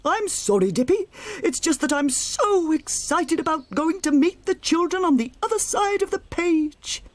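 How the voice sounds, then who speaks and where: A woman voicing an excitable young male rabbit in a storybook, giddy and squealing, with music behind. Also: very high voice, moderate pace, no room sound, voice pushed up. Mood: eager.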